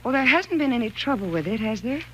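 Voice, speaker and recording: An actress in a 1940s radio thriller playing a frightened, anxious wife, with a dramatic, halting delivery. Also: female voice, on the high side, lively intonation, highs cut off, natural voice. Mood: nervous. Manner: dramatic, halting and stammering when afraid. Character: frightened, anxious wife narrating her growing dread. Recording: noisy old 1940s radio recording.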